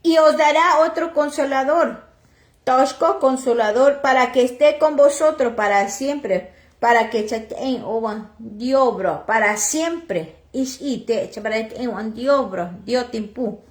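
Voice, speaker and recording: A woman from Oaxaca whose first language is Chatino, slowly reading scripture and preaching, with halting restarts and self-corrections as she translates. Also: a little high, roomy sound. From Oaxaca, Mexico. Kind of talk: slow Bible reading and sermon, translated into Chatino.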